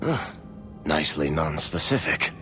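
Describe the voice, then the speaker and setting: A man's voice: extremely low pitch, very animated, unhurried, pushed and bright, faint music behind, exaggerated voice. A man voicing a wry, talkative storyteller rogue in a fantasy video game, sardonic and theatrical. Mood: guarded, sardonic. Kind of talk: video game character dialogue.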